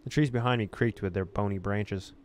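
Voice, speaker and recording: A man's voice: fairly low pitch, brisk. A man hosting a let's-play, reading story text expressively and dropping in casual asides. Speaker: gaming video host.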